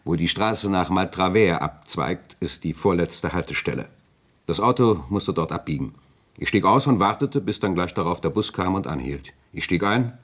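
A man's voice: low-pitched, expressive, moderate pace, fairly bright tone. A man playing a suave, self-assured provincial notary, urbane and condescending, in a German radio play. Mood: smooth, explaining.

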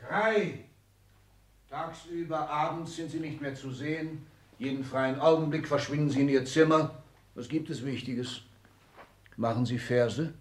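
A man's voice: fairly high pitch, measured pace, lightly acted. A man acting an imperious older aristocrat in a 1960s German radio play, commanding and condescending in abrupt sentences. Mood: condescending, probing. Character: imperious older aristocratic Excellency, restless military-minded patriarch.

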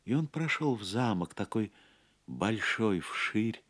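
A man narrating a legend as a scripted audio play, in a measured, literary and theatrical manner. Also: lowish voice, lively intonation, a bit bright.

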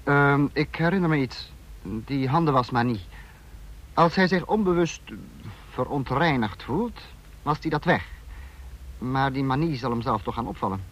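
Cold-planning male dictator, radio play, measured, authoritative questioning, muffled vintage recording. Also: medium pitch, animated, fairly bright tone. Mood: pensive, calculating. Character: calculating male dictator, the Protector of a fascist state. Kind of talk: Dutch radio drama acting.

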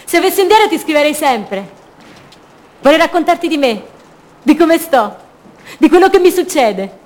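An actress playing a lonely, wounded woman longing for her love, in an intimate, lyrical radio theatre monologue of short measured phrases. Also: high-pitched, big pitch swings, a little mellow. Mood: secretive, yearning.

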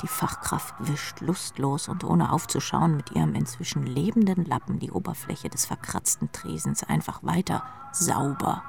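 A sardonic woman rock drummer thinking aloud in a radio drama. Her low voice crackles with fry and often drops to an intimate half-whisper. Music runs underneath. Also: darkish tone, full character voice. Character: dreamy, sardonic female rock drummer narrating her thoughts. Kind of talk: radio drama inner monologue.

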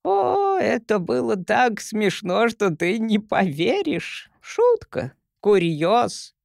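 A man voicing an ancient, tiny, merry female creature who is the first grandmother, in a teasing, giggly, drawn-out manner. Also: high-pitched, huge pitch swings, gravelly, no room sound, studio quality, pitched above the performer's own voice, full character voice. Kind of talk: audiobook character voice.

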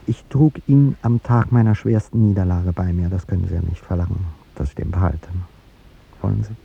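An actor playing an aging, courtly statesman in a 1950s radio drama, speaking in a reflective, halting, wistful way. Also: low voice, gravelly, husky, full character voice. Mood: tender, nostalgic. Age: older. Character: aging male statesman, wistful, courtly, devoted to younger woman. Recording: dull, noisy 1950s radio recording.

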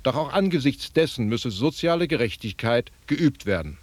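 A man's voice: medium pitch, animated, moderate pace, dry recording. A man reading a scripted political news report as a radio correspondent.

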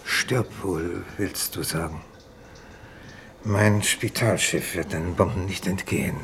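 Resigned but unbending exiled colony doctor: very low, gravelly, measured delivery, vintage radio drama. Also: male voice, a bit bright, full character voice. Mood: fatalistic, unsparing. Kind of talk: 1950s German radio play acting. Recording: faintly noisy 1950s radio recording.

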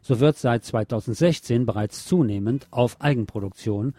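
A man's voice: mid-range voice, moderate pace, warm tone, breathy edge, no echo. A man presenting scripted radio news with a wry, colloquial touch. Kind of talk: scripted news magazine narration.

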